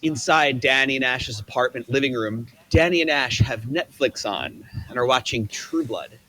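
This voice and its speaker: A man's voice: mid-range voice, normal speed, pushed and bright, poor audio. A man reading stage directions in a script table read, matter-of-fact with a few stumbles.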